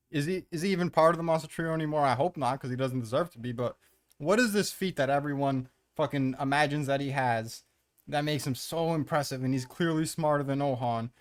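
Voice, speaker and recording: A man giving casual, opinionated countdown commentary as host, blunt and exasperated, swearing freely as he rants. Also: mid-range voice. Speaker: host of a manga ranking commentary.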